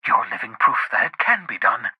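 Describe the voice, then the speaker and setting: An actor playing a cantankerous, socially awkward scientist in a video game, raspy, with a fussy, wordy, theatrical delivery. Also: male voice, mid-range voice, sing-song, a little fast, no echo, dull audio. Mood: earnest, explanatory. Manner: fussy, wordy, dramatic, with muttered asides.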